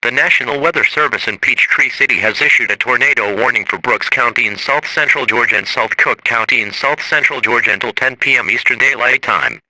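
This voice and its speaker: A man's voice: medium pitch, fairly quick, few pauses, pushed and bright, no echo, low-quality recording. A man reading a scripted emergency weather bulletin in a formal, automated-sounding way. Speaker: emergency alert announcer.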